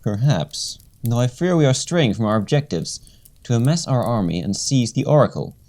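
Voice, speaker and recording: An actor playing a cool, all-seeing villain strategist in a radio play, speaking in formal, measured phrases. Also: medium pitch, expressive, darkish tone, lightly acted. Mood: solemn, scheming.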